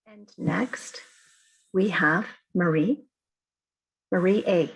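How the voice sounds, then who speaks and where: Woman tech host calling on people, gravelly and very raspy, video call. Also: on the low side, drawn-out words, low-quality recording. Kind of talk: brief announcements.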